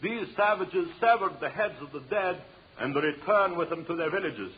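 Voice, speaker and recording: An actor playing a pedantic anthropologist giving a formal, declamatory lecture in an old radio drama. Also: male voice, fairly high pitch, animated, moderate pace, husky. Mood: pedantic lecturing. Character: pedantic male anthropologist lecturing on savage tribes. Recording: muffled, noisy old radio broadcast.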